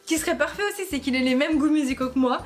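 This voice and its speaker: A young woman from France talks to her viewers in a loose, joking vlog style, laughing at herself as she goes. Her voice sits high, and faint music plays quietly behind her. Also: steady tone, very fast. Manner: playful, giggly, self-mocking. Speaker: YouTuber. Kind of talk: casual vlog chat to camera.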